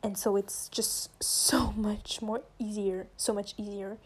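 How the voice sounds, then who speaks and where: A woman's voice: mid-range voice, flat delivery, slow, slightly rough, poor audio. A teenage girl from France, speaking English with a French accent as a second language, rambling casually into her phone for a solo podcast, often restarting her sentences. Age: teens. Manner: rambling, self-interrupting, chatty.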